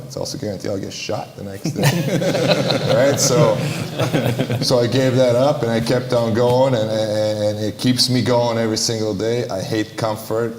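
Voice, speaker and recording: A man's voice: on the low side, darkish tone. A man from Romania speaking as a panelist at a live event, answering earnestly and bluntly, often repeating his phrases. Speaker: panelist, basketball entrepreneur. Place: large hall, live panel. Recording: echoey hall, faint room noise.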